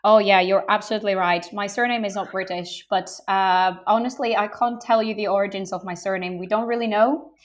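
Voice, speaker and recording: An enthusiastic young woman from Bulgaria, raised in Greece, talks openly about her work as a game industry recruiter. Her voice sits at a middle pitch, and she fills her pauses with um. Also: steady tone. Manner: open, enthusiastic, frequent ums. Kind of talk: podcast interview answers.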